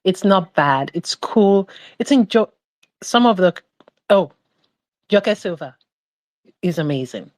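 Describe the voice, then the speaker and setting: A woman's voice: fairly low pitch, lively intonation, takes it slowly, long pauses, darkish tone, dry recording. Chatty woman on a film podcast. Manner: chatty, meandering, full of "like". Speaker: podcast panelist. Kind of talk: casual opinion chat.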